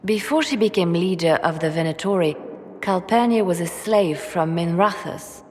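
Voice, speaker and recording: A woman voicing a poised, businesslike advisor in a fantasy video game, with a measured, formal delivery. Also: fairly low pitch, animated, a little mellow. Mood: serious briefing. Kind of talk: video game cutscene briefing.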